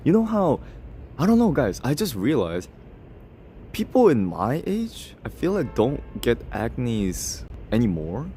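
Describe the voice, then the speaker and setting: A man's voice: mid-range voice, wildly swooping pitch, takes it slowly, dark tone, faint music behind. Laughing, self-mocking young man on stream. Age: 20s. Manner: laughs often, self-mocking, talks straight to chat. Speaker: livestreamer. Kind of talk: casual livestream chat reading comments.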